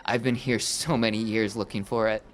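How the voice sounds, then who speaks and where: A man voicing a weary, determined woman rebel in a tabletop game, speaking urgently and haltingly. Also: fairly low pitch, little pitch movement, close to an everyday voice.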